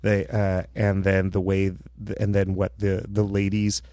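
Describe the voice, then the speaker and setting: Low-voiced man rambling on a podcast. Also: monotone, a little slow, mellow, no echo. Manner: rambling, with many restarts. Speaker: podcast co-host. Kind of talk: casual chat.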